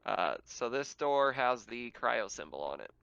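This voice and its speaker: A man's voice: mid-range voice, big pitch swings, measured pace, creaky, fairly bright tone, clean tone, dry recording. Man running a tabletop game, muffled recording. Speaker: game master. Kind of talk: narrating a tabletop game, casual.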